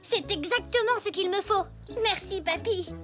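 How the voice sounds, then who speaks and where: A curious little zebra boy in a children's cartoon. The voice is very high and bright, earnest and exclamatory, like a small child proudly playing scientist. Light music sits underneath. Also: normal speed. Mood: busy, cheerful. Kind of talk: children's cartoon dub acting.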